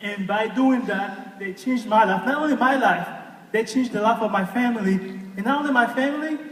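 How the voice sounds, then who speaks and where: A man's voice: very high voice, normal speed, fairly bright tone, recorded from a distance, slight background noise. A man from Haiti, giving an earnest personal testimony speech to an audience and asking them questions. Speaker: guest speaker. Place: large hall with an audience. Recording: echoey hall, voice from afar.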